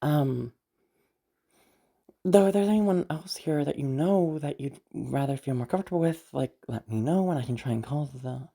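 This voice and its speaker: A woman playing a teasing, self-assured, sardonic yet protective young woman in a listener roleplay, rambling and halting as she talks. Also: sits low, lively intonation, creaky, mellow, exaggerated voice. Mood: flustered, reassuring. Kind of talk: roleplay audio drama addressed to the listener.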